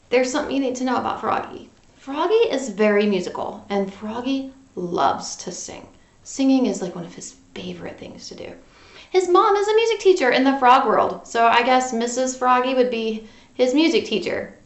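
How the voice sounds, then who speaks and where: A woman with a high voice speaks as a storytelling teacher to young children. She is conversational and very lively, moving up and down in pitch. The room adds a clear echo. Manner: animated, conversational, addressing children directly. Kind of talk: children's read-aloud lesson, talking to young pupils. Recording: roomy, echoing home recording.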